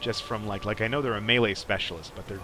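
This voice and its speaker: A man's voice: lowish voice, fairly even intonation, quick pace. A man casually commentating on gameplay as a podcast host, in a rambling, hesitant way. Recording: clearly noisy background behind voice.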